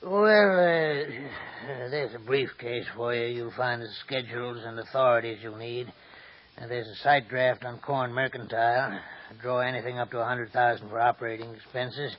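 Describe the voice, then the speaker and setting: A man's voice: medium pitch, fairly even intonation, lightly acted. A man playing a gloating old crime boss. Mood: businesslike. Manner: gloating, chuckling, slow and deliberate. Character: scheming older male crime boss. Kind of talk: 1940s radio acting. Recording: dull old radio broadcast with faint hiss.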